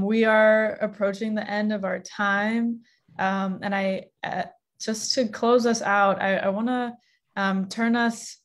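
A woman in her thirties moderates an online panel over a video call. She speaks in a soft, hesitant way, with fillers between her phrases. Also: medium pitch.

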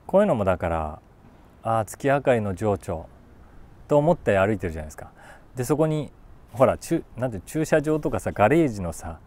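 A man in his forties talking casually about a book, thinking aloud and reading short lines with animated emphasis. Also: fairly low pitch. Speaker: YouTube host, voice actor. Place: outdoors on a city rooftop.

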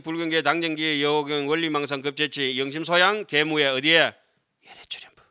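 Middle-aged male monk lecturer, bright ringing voice, slightly high, animated question-and-answer delivery at a desk mic. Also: clear, resonant tone. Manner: animated, asks the class questions and answers them himself. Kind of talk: classroom lecture on scripture. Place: lecture hall with seated students. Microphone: desk microphone on stand.

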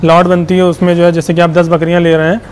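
A man's voice: high voice, monotone, fast talker, slight background noise. A young man with an Uttar Pradesh Hindi accent, a goat farm owner showing his goats and quoting prices in a casual walkthrough, talking like a salesman and leaning on repeated fillers. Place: outdoor goat farm pens.

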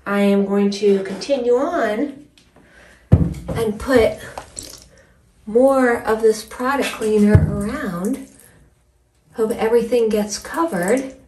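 A woman in her forties chatting casually in an expressive, emphatic way, as a home cleaning vlogger. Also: on the high side, takes it slowly, long pauses. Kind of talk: casual vlog chat and narration while cleaning. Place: small tiled bathroom, indoors. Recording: roomy bathroom echo, distant mic.

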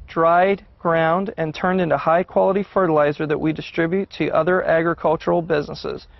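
A man in his forties speaks as a farmer explaining his work to students. His voice sits fairly high with a lot of gravelly fry, and the recording is slightly muffled. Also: no room sound. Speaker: third-generation egg farmer. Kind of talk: plain explanatory talk to students.

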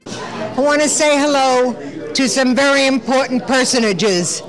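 A woman's voice: high-pitched, low-quality recording. A woman hosting a gallery event, chatting with artists on a handheld mic. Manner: chatty, warm compliments, quick interjections. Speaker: host. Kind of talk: casual on-camera interviews. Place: indoor art gallery at an evening event. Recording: crowd chatter and music behind.

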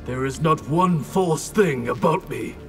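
A man's voice: on the high side, a little slow, soft background music, natural voice. An actor voicing a proud, defiant male ninja clan leader.

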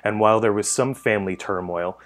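A man's voice: fairly low pitch, a little fast. A middle-aged man from New Jersey with an American accent, reading a scripted first-person narration for a horror docuseries. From New Jersey, United States. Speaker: author telling a personal essay. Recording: music bed behind the voice. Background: guitar music.

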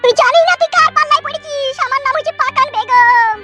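Vengeful, cursing village woman, piercing bright tone, artificially raised pitch, rural West Bengal accent. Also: fast talker, soft background music. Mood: vengeful, boastful. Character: shrill, foul-mouthed, vengeful village wife and mother. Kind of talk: comedy cartoon dialogue.

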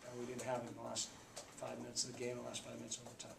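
Flat-voiced man in an echoey press room. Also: mid-range voice, creaky. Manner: measured, halting, many fillers and restarts. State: subdued after a loss. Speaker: basketball coach. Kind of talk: postgame press conference. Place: small press room indoors. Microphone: table mic. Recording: echoey room, faint background hum.

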